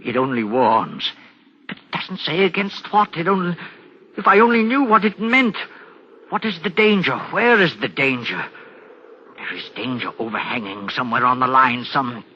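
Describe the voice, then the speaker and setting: An actor playing a troubled, earnest railway signalman in an old radio drama, agitated and halting, brooding with dread. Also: mid-range voice, expressive, lightly acted. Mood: anguished dread. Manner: agitated, halting, brooding over a nameless danger. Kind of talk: 1940s radio drama acting. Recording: dull, noisy old radio broadcast.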